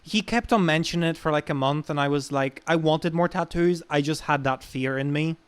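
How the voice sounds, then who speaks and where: Young adult man, Irish accent, slightly high pitch, clean resonant tone, casual storyteller, swears freely. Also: brisk, smooth. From Ireland. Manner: informal, sweary. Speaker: podcast guest. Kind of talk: casual chat, personal storytelling.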